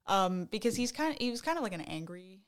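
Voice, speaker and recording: A woman's voice: medium pitch, big pitch swings, fast, clean tone. Woman co-host from Canada, enthusiastic casual talker, mid-range voice, easygoing podcast banter. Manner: chatty, enthusiastic, trails off mid-sentence. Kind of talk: casual chat.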